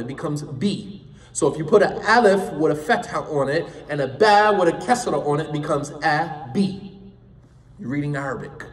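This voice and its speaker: Guy teaching a class, kinda high voice, really emphatic, sounds far from the mic. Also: reverberant, in a large hall. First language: English. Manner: emphatic, impassioned, repeats points for stress. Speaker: Islamic studies teacher. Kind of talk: classroom lecture.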